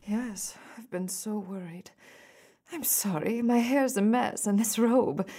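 A woman's voice: mid-range voice, slow pace, dark tone. A woman playing a demure, coy femme fatale with an innocent air in a period noir audio drama. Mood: coy, fretful.

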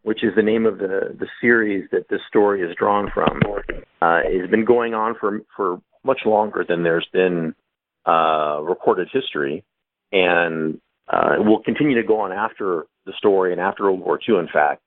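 Author on a call: low male voice, dry, tinny phone-line sound, explains patiently with fillers. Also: normal speed, no room sound. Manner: explanatory, thoughtful, many fillers. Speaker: author guest. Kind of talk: casual panel discussion.

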